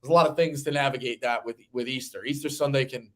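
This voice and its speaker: A man's voice: medium pitch, fairly even intonation, fast, fairly bright tone. A man in his thirties hosting a sports betting show, talking in a casual, rambling, conversational way. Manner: rambling, conversational, occasional swearing.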